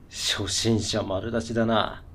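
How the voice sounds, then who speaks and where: An actor playing a cocky, condescending self-styled veteran who talks in brusque hard-boiled slang in a radio drama. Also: male voice, on the low side, pushed and bright, a little hiss or hum, exaggerated voice. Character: cocky self-styled veteran antidepressant user talking like gunman. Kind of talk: Japanese radio drama dialogue.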